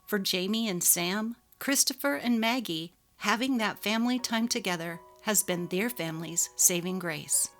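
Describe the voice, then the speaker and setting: A woman narrates a short story in a scripted, dramatic reading style. Her pitch sits in the middle range, and music plays quietly underneath. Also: normal speed, hardly any pauses.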